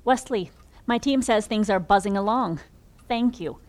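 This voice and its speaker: A woman acting in an audio drama as a brisk, exacting strategist in charge, direct and businesslike. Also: medium pitch, a little mellow, close to an everyday voice. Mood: brisk, concerned.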